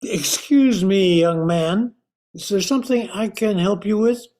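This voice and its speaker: An honest, kindly old man of science in his sixties, played by a man in a radio drama. He talks slowly in a soft-toned, mellow voice, polite and a little hesitant. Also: high voice. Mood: polite, reassuring. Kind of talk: amateur radio drama acting.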